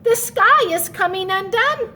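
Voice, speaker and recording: Woman voicing a squeaky, excitable little storybook mouse. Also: a little slow, slight background noise, full character voice. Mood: startled. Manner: exclaiming, wailing. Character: small, excitable, easily frightened young male mouse.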